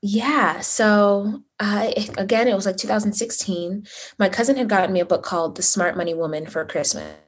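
A woman's voice: mid-range voice, normal speed, breathy edge. A woman in her thirties from Uganda, raised in Las Vegas, telling her story as a podcast guest in a chatty, upbeat way with many fillers. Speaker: podcast guest, therapist and money coach. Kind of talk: conversational interview answers.

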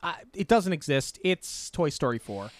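A man's voice: fairly high pitch, sing-song, normal speed, no room sound. Opinionated man rambling in dry audio. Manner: rambling, self-correcting, opinionated. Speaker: podcast co-host. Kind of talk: casual movie review chat.

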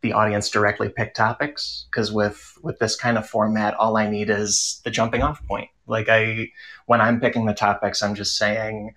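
Male panelist, fairly low voice, casual and conversational, working out thoughts as he speaks. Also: level tone, normal speed, fairly bright tone, poor audio. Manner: conversational, thinking aloud with repeats. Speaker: podcaster on a panel. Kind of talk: casual unscripted panel talk.

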